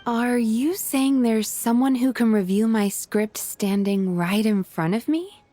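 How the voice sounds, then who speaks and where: A gentle young woman voiced by an actress speaks politely and thoughtfully in a fairly high voice. It is game character acting, with music underneath. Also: unhurried, no room sound, lightly acted. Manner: polite, thoughtful, soft-spoken. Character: gentle, polite young female psychologist and aspiring writer.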